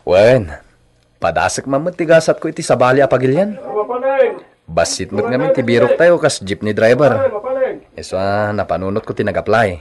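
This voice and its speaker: A man's voice: mid-range voice, very animated, moderate pace, a loud crowd, close to an everyday voice. A man acting in an Ilocano radio drama as a friendly working man chatting with his pal. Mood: matter-of-fact.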